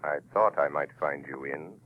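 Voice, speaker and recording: Very deep, sinister unseen avenger. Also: male voice, narrow, tinny audio. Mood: mocking. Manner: ominous, slow, mocking. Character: sinister unseen male avenger, a taunting voice of conscience. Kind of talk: 1940s radio mystery acting. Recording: thin band-limited old radio recording.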